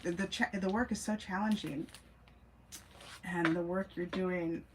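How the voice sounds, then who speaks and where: Female self-help host, lowish voice, musing and digressive, unscripted talk over clear background noise. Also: fairly even intonation, measured pace. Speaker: host, former teacher. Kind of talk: casual spiritual self-help talk to camera. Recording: clearly noisy amateur recording.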